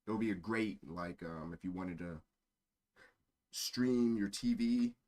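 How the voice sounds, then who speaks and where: Guy with a deep voice just chatting on stream, kinda rambly, keeps getting sidetracked. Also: slow pace, a little mellow. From born in Germany. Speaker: live streamer. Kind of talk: casual stream chat.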